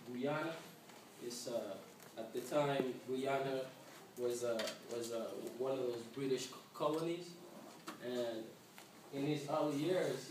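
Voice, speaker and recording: A man from Uganda with a Ugandan accent giving an informal class presentation, repeating words haltingly as he goes. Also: medium pitch. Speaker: student presenter. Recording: noisy, echoey room, distant mic.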